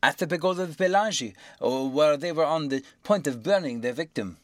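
A man voicing an excitable, anxious and indignant manservant in a storybook reading. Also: a little high, fast, no echo, clean studio sound, lightly acted. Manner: agitated, exclaiming.

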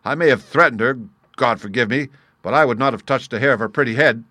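A man's voice: on the low side, normal speed, fairly bright tone, dry recording, close to an everyday voice. Audiobook villain, played by a male narrator: swaggering American crook, blunt, proud, defiant, closely recorded and dry. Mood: fierce, anguished. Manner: blunt, colloquial, defiant speech. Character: swaggering American criminal, fierce, grief-stricken and proud. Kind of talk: audiobook character dialogue.

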